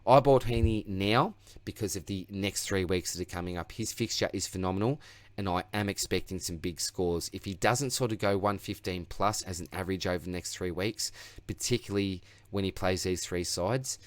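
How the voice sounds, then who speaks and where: A man's voice: low-pitched, moderate pace, no room sound. An Australian man hosting his own sports channel, reviewing his fantasy team in a chatty, rambling, self-mocking way, his voice tiring. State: voice getting tired. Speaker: fantasy football channel host. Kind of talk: casual solo sports review.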